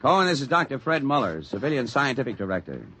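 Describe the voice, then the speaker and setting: An actor playing a brusque, irritable army general in a 1950s radio drama. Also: male voice, mid-range voice, very animated, fast, sharp tone, husky, heavily acted. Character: gruff, impatient army general, middle-aged man. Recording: noisy vintage radio recording.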